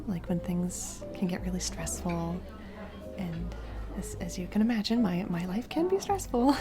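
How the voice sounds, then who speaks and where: Giggly, gentle single mom over background music acted by a woman. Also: mid-range voice. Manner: hesitant, giggly, gently encouraging. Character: warm, giggly single mom on a first date. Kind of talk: romantic audio roleplay, conversational acting. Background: background music, restaurant date ambiance.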